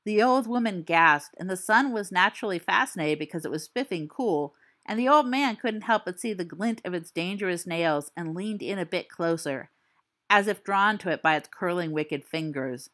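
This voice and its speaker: A teenage wizard boy spinning a spooky fireside tale with theatrical flair and wry asides, read by a woman. His voice is bright and lively, swinging up and down as he builds the suspense. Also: lowish voice, moderate pace. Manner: theatrical storytelling, dry asides.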